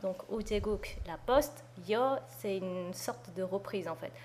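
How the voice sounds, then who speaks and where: A woman's voice: mid-range voice, moderate pace, a little mellow. A woman co-hosting a Korean lesson, explaining things casually. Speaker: co-host of a Korean language lesson. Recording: clear background noise.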